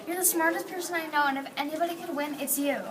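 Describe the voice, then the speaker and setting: A woman's voice: high voice, fairly even intonation, fast talker, background noise, lightly acted. A female reader playing a poor, loving, encouraging mother in a readers theatre play. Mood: excited. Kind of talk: readers theatre, reading from a script. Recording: distant mic, loud crowd chatter.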